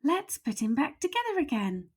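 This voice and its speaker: Woman as a high-voiced playful little boy skeleton. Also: sing-song, normal speed. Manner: eager, suggesting mischief. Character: playful little male skeleton, childlike and mischievous. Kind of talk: storybook read-aloud.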